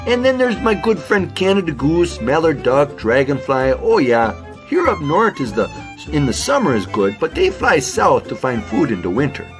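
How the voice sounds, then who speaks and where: Friendly folksy beaver , male actor, homely talker, hugely varied pitch; kids' audio drama over music. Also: very animated, moderate pace. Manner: folksy, repeats "oh yeah" and "hey".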